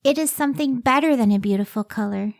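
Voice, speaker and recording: A woman playing a devoted, idealistic scientist in an old-time radio drama, speaking earnestly and with measured care. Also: on the high side, vocal fry. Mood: tender wonder.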